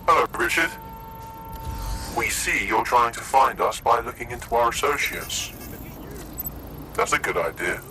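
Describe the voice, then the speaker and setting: Anonymous villain man played by an actor, taunting, mock-polite, mid pitch, game message, noisy playback. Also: lively intonation, a little slow, long pauses, close to an everyday voice. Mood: mocking menace. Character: taunting, sinister anonymous male terrorist ringleader. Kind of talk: video game voice acting.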